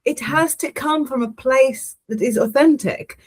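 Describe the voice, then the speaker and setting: A woman's voice: high voice, a little slow, husky. A woman with an Israeli accent who hosts a podcast, reflecting earnestly and supportively in conversation. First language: Hebrew. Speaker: podcast host. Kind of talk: interview conversation.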